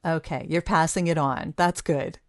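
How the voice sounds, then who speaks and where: A woman's voice: deep voice, moderate pace, dry recording, clean studio sound. A woman chatting casually as the host of an interview podcast.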